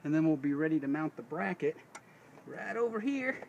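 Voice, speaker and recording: A man's voice: a little high, expressive, normal speed, creaky, darkish tone, dull audio. An American man giving a casual how-to demonstration as host of a DIY video, in a folksy, rambling way, often correcting himself. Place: outdoors beside a vehicle. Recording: noisy on-location camera audio.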